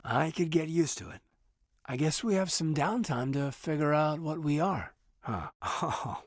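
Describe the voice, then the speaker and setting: A man playing an earnest, dutiful military officer in a video game, speaking in a husky, measured, sincere way. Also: mid-range voice, no room sound, clean studio sound. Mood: reserved, tender. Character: earnest, dutiful male military officer, reserved and quietly romantic. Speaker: soldier. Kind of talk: video game character dialogue.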